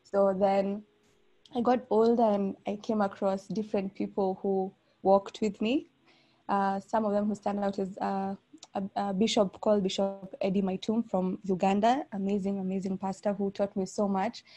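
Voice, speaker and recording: A woman from Kenya, a pastor, speaks in a relaxed, reflective way on a podcast. Her voice is mellow and soft-edged, sitting at an everyday pitch. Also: moderate pace. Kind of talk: casual podcast chat.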